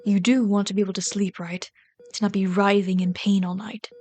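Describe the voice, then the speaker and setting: A woman's voice: mid-range voice, flat delivery, a little fast, close to an everyday voice. Woman acting as a bitter, scolding villain queen. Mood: bitter, concerned. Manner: scolding, sardonic, exasperated, dropping to hushed asides. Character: bitter, caring female villain rat queen tending a captive sidekick. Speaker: voice actor in an audio roleplay. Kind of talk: ASMR roleplay acting.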